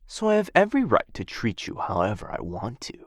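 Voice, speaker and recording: A man's voice: mid-range voice, huge pitch swings, fairly quick, no echo, studio quality. A man playing a smug, menacing, possessive dragon captor in a fantasy ASMR roleplay, drawling condescendingly and theatrically. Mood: self-satisfied, coaxing.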